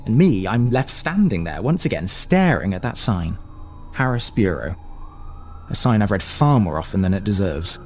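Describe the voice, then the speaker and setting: An actor playing an anxious, indignant, paranoid businessman in an audio drama, halting and often interrupting himself. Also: on the low side, sing-song, darkish tone, soft background music. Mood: bitter, suspicious. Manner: halting, muttering, self-interrupting. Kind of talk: audio drama monologue and phone dialogue.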